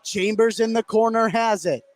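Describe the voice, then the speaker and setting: A man's voice: high voice, normal speed. A man doing live play-by-play basketball commentary as a radio announcer. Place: indoor gymnasium, courtside. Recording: clear background noise from a gym.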